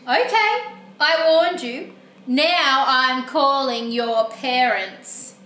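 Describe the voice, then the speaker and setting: In a roomy space, a woman plays a cranky, desperate storybook fellow in a high, swooping voice. He exclaims and pleads melodramatically, stretching out his words. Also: sing-song, slow, fairly bright tone, full character voice. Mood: cross, threatening. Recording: roomy echo, faint background noise.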